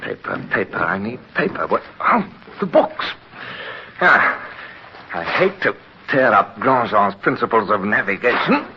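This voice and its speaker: An actor playing an earnest, stubborn young midshipman in a 1950s radio drama, formal and sometimes halting. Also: on the low side, big pitch swings. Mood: urgent, excited. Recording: dull, noisy 1950s radio recording.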